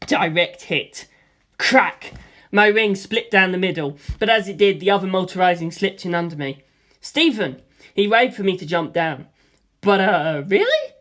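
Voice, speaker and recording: A man reading a first-person action story aloud in a lively way, voicing gunfire sound effects himself. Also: high-pitched, normal speed. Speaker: audiobook reader.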